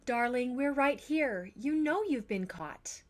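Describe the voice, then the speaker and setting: A woman voicing a stern adult mother who scolds her daughter in firm, measured words. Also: sits high, animated, pitched above the performer's own voice. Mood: gently reproachful.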